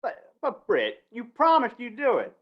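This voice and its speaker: A woman's voice: on the high side, huge pitch swings, normal speed, lo-fi sound. A woman acting a flustered, wheedling small-town male undertaker in a 1950s-style Western radio play, stammering in rustic speech. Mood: startled. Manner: stammering, pleading, rustic grammar.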